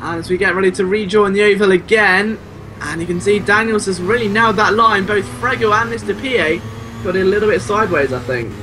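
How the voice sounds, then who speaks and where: A man with a noticeably high voice commentates live on motor racing. His delivery is excitable and full of exclamation as he follows the action play by play. Car engines are clearly heard behind him. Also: fairly quick, noisy background. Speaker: sim racing race commentator.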